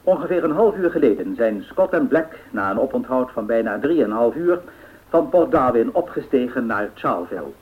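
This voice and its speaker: A man's voice: mid-range voice, sing-song, moderate pace, close to an everyday voice. Formal male 1930s bulletin reader, acted by a man, mid-range voice, phone-like vintage radio band. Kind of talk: scripted news bulletin in a radio play. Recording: thin band-limited old radio sound.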